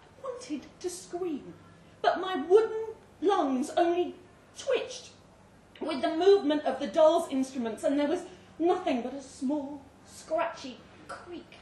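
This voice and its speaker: A woman giving an expressive, theatrical reading of a suspenseful short story at a live indoor event. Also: high-pitched, slow. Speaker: story reader. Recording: roomy live venue, distant mic, faint noise.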